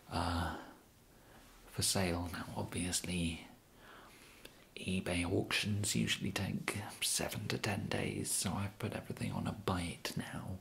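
A young man with an English accent whispering an ASMR roleplay as an awkward, polite kidnapper, halting and apologetic, close to the microphone. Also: sits low, gravelly. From England. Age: young adult. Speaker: ASMR performer. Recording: steady background hiss.

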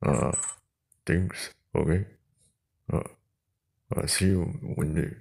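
Slow, halting man with low creaky voice. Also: a little mellow. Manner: halting, repeats words. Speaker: prank call video creator, host. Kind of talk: casual improvised chat. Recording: noisy home recording.

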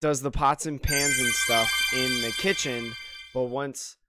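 A man with a slightly hoarse voice gives casual, unhurried commentary on a game, often trailing off into ums. His pitch is ordinary for a man. Loud game music runs under him. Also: fairly even intonation. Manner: mumbling to himself, many ums. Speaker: game streamer.

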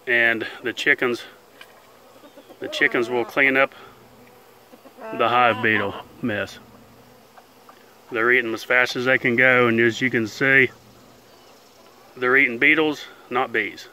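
A man's voice: mid-range voice, fairly even intonation, bright, forceful tone, slight background noise, low-quality recording. A man who keeps bees, talking casually and plainly as he films outdoors in a chicken pen. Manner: plain-spoken, unhurried, folksy grammar. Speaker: beekeeper and channel host. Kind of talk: casual narration while filming. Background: faint buzzing of bees outdoors.

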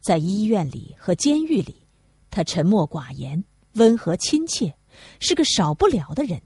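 A woman's voice: medium pitch, very animated, unhurried, mellow, breathy edge, no echo, clean studio sound. A woman reading a classic novel aloud as a narrator. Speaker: audiobook narrator.

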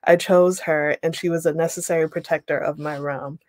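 A young woman leading an online writing workshop, reading a passage aloud and then explaining it with relaxed pauses over a video call. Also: low-pitched, no room sound. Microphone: webcam on a video call.

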